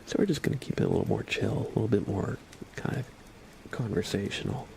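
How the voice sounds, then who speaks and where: A low-voiced man with a lot of vocal fry, hosting a relaxed ASMR livestream. He speaks softly, often drifting into a whisper, and sounds a little under the weather. There is clear background noise around his voice. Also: fast talker. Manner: soft-spoken, often slipping into whispers. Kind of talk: ASMR livestream, casual chat with viewers.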